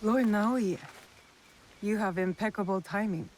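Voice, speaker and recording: Woman acting a defiant young warrior, medium pitch. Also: measured pace, darkish tone, slight background noise, close to an everyday voice, low-quality recording. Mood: challenging. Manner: blunt, challenging. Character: defiant, determined avenging female warrior in feudal Japan. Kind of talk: cinematic video game acting. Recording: faint music behind the voice. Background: faint background music.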